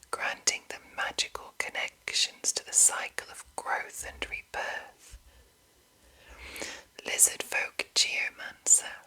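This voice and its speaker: A woman whispering an ASMR reading in a breathy voice, deliberate and broken into short phrases with pauses. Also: pushed and bright, poor audio. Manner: slow, deliberate, pausing between short phrases. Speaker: ASMR host reading game monster entries.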